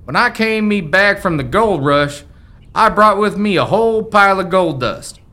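An actor playing a folksy, forgetful old American rancher's ghost in a family radio play, with rustic old-timer phrasing. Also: male voice, high voice, expressive, normal speed, pushed and bright. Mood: proud, reminiscing. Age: older.

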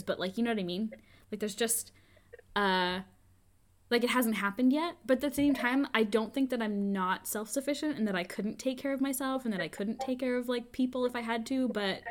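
A woman in her twenties talking in a casual chat, rambling and hesitant, with many "like"s. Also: medium pitch. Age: 20s. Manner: hesitant, rambling, says "like" often.

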